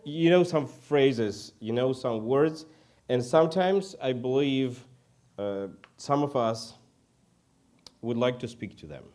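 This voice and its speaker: A man from Ukraine with a Ukrainian accent preaches informally in English as a second language, halting and self-deprecating. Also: mid-range voice, darkish tone. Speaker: guest preacher. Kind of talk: informal sermon.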